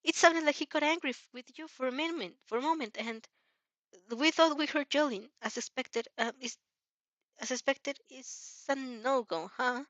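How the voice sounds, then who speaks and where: A woman puts on an anxious teenage boy for a fan audio drama. He sounds caring, hesitant and worried, pitched high over a dry recording. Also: normal speed, fairly bright tone, no room sound, highs cut off.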